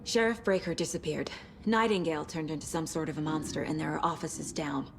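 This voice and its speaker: An actress playing a focused, determined investigator in a video game, speaking in a serious, analytical way. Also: female voice, deep voice, steady tone, brisk, noisy background. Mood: serious, resolute. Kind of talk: naturalistic video game acting.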